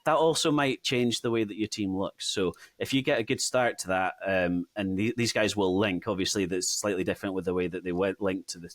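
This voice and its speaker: A man's voice: low-pitched, brisk, few pauses, no room sound. A man with a half English, half Scottish accent, chatting casually and conversationally as host of a gaming podcast.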